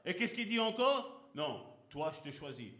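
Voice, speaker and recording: Impassioned high-voiced male pastor. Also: very animated, moderate pace, a bit bright. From Belgium. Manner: impassioned, rhetorical questions to the congregation. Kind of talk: sermon. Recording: echoey hall.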